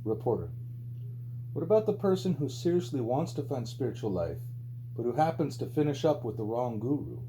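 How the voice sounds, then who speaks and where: A man reading a spiritual book aloud as scripted audiobook narration, with a mellow voice. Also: sing-song, a little fast, poor audio. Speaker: audiobook reader. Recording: low hum and background noise.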